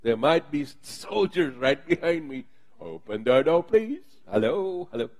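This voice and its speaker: A man's voice: fairly high pitch, animated, a little slow, darkish tone. A preacher acting as a frantic man knocking. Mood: urgent pleading. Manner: pleading, insistent calling out. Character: the apostle Peter, urgent man knocking at a door.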